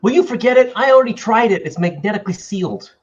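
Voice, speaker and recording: A man's voice: high-pitched, quick pace, lightly acted. A man playing an earnest, anxious young farm-boy hero in a table read of a space adventure script. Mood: irritated. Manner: earnest, urgent. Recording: dull lo-fi audio with music.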